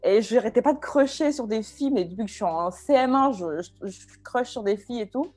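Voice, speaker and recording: A woman's voice: on the high side, animated, fairly quick, a little mellow. Young woman in a hesitant chat. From France. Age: 20s. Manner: hesitant, many fillers and restarts. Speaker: guest. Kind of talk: casual interview talk.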